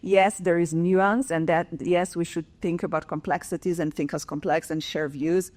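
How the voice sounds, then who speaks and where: Woman, 40s, Israeli accent, professor on a panel; earnest, halting, lower register. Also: fairly even intonation, darkish tone. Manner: earnest, frequent fillers and restarts. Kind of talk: conference panel talk.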